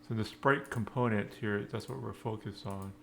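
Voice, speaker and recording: A man giving a casual coding screencast, thinking aloud in halting, unscripted phrases. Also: fairly low pitch, steady tone, gravelly, slight background noise. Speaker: programming tutorial presenter.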